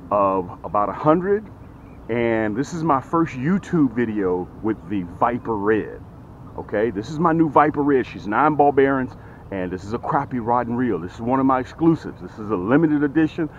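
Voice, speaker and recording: A man's voice: mid-range voice, dull audio. Casual middle-aged guy filming himself by the lake, hyped sales talk, keeps saying okay and guys, a bit of outdoor noise behind him. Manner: animated, repeats "okay?" and "guys", gestures with hands. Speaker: fishing vlogger and tackle seller. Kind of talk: energetic sales pitch and fishing vlog. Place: outdoors at a lakeshore. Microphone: camera held close to the face.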